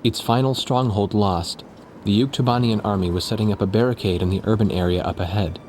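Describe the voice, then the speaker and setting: Low-voiced, sober actor as war journalist. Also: male voice, a little fast, background noise, natural voice. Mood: solemn. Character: earnest, sober war journalist narrating events. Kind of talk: scripted dramatic narration and dialogue.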